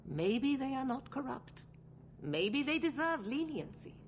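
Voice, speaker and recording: A woman's voice: sits high, big pitch swings, measured pace, a little hiss or hum. An actress playing a cold, formal, unyielding middle-aged woman commander in a video game. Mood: stern, impatient.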